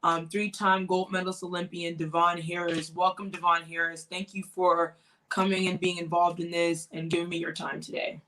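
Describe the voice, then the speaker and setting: Brief: young woman interviewer, bright forward voice, eager and friendly. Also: on the low side, little pitch movement, normal speed, slight background noise, low-quality recording. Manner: eager, friendly questions with restarts. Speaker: host. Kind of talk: interview hosting.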